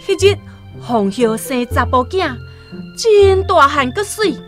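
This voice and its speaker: A woman with a Taiwanese accent narrating a radio drama as an expressive scripted story. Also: high-pitched, takes it slowly, faint music behind.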